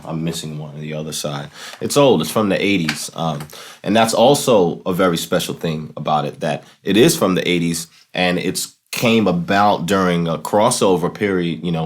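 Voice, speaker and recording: A man in his thirties with a low-pitched voice explains gear in a relaxed, informal teaching style. He speaks haltingly, pausing to find his words and leaning on filler phrases as he goes. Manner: halting, searching for words, often saying "you know". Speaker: host of a keyboard and talk box tutorial show. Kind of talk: casual instructional talk to camera. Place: small plain indoor room, home studio setup.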